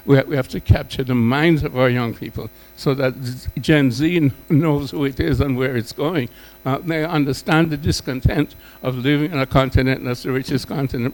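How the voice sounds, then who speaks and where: A man speaking off the cuff as a panelist, with a halting, emphatic delivery full of repeated words and intellectual phrasing. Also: mid-range voice, rough recording. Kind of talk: extemporaneous panel speech.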